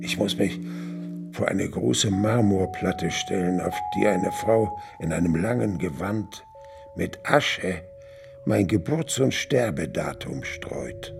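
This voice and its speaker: A man's voice: low-pitched, animated, slow pace, gravelly, music in the background, full character voice. An actor playing a grave man recounting a dream in sombre first-person radio narration. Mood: sombre, uneasy.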